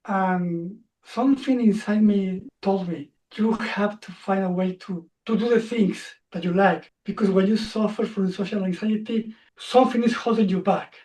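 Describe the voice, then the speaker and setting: A man in his forties, speaking English as a second language, telling his story as a coach guest in a reflective, halting way. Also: high voice, darkish tone, far from the mic, rough recording. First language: not English. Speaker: social anxiety coach. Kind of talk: interview guest, personal story.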